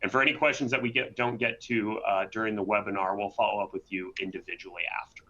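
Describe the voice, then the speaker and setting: A man's voice: on the low side, fairly even intonation, fast, pushed and bright, highs cut off. A man hosting and presenting a webinar in a conversational way, often tagging on "right?". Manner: conversational, frequent "right?" tags.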